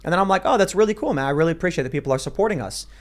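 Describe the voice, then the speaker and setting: A man hosting a panel show, chatting casually and getting animated, his voice jumping up when excited. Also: a little high, racing. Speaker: show host.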